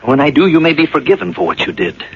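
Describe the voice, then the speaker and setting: An actor playing a resolute, loving husband in a radio drama, speaking earnestly and insistently. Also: male voice, fairly high pitch, very animated, fast talker, creaky, husky, no room sound, full character voice. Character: resolute, loving husband facing a manslaughter charge. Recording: dull, noisy old radio broadcast.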